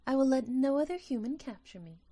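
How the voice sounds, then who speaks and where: Woman doing a little fairy creature for an audio drama, soft mellow highish voice, earnest n tender, talks formal. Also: lively intonation, fairly quick. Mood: grave, pleading. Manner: formal, solemn phrasing. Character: tiny delicate winged female sprite, earnest and tender.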